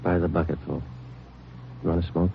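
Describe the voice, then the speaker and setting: An American man acting a terse, weary police sergeant who narrates a vintage radio crime drama in a matter-of-fact deadpan. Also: low voice, a little fast, vocal fry, noisy background. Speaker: lead actor and narrator. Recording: muffled old radio recording, music behind.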